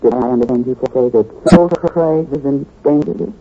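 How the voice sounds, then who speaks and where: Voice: mid-range voice, normal speed, mellow, slightly rough. A performer acting a coy, boastful nightclub singer in an old radio drama. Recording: dull, hissy old radio recording.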